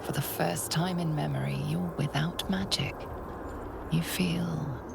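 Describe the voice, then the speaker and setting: Grave game narrator, low hushed breathy voice, measured, loud ambience underneath. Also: female voice, fairly even intonation, very noisy, full character voice. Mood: ominous, hushed. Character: grave, ominous female storyteller narrating a fantasy adventure. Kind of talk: scripted second-person game narration.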